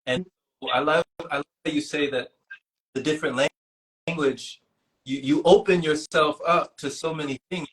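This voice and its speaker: A man in casual, reflective conversation, speaking appreciatively in halting, broken phrases. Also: a little high, steady tone, fairly bright tone, poor audio.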